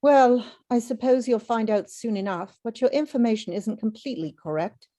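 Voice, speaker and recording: A woman's voice: a little high, normal speed, mellow, natural voice. A woman in an amateur script reading playing a reserved, apologetic company executive. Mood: guarded. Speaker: amateur actor at a table read.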